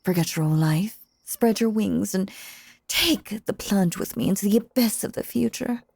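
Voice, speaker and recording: A woman playing a sinister, possessive stage magician in a dramatic audio roleplay, with breathy, coaxing, theatrical delivery and syrupy menace. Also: mid-range voice, normal speed, a little mellow, no echo. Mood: infatuated, fervent.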